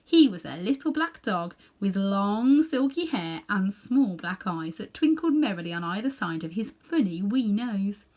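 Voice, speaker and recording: A woman reading a children's story aloud in an animated way, with dramatic pauses and hushed little asides. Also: medium pitch. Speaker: storybook reader.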